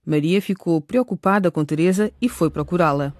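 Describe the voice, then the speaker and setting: A woman's voice: lowish voice, moderate pace, darkish tone. A woman reading scripted narration for a radio drama as its narrator.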